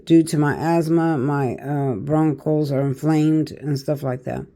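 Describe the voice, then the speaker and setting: An older woman with a naturally raspy, hoarse voice, a tarot reader giving a casual, preachy monologue to camera and often checking in with "okay" and "all right.". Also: low voice, fairly even intonation, a little slow, mellow.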